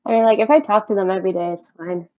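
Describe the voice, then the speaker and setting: A woman's voice: mid-range voice, very fast. A young woman co-hosting a podcast in casual, conversational talk. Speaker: podcast co-host. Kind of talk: casual chat. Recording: dull lo-fi audio.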